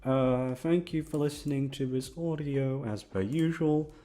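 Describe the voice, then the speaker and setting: A man giving a casual, rambling outro to his listeners, goofy and awkward, swearing and jumping into silly high tones. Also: a little slow, mellow, slight background noise. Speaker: audio roleplay performer.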